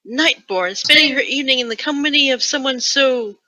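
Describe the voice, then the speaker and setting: A woman's voice: high voice, a little fast, bright, forceful tone, pitched above the performer's own voice. A woman voicing a prim, flirtatious, teasing ancient elf lady from a romance novel. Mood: coy, teasing. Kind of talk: dramatic romance reading.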